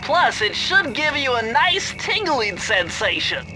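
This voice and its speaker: Casting: anxious brainy young turtle sidekick. Extremely high, nasal, fussy and precise, video game cartoon over a music bed. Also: male voice, big pitch swings, measured pace, exaggerated voice, rough recording. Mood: excited, intrigued. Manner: fussy, precise, techy explaining.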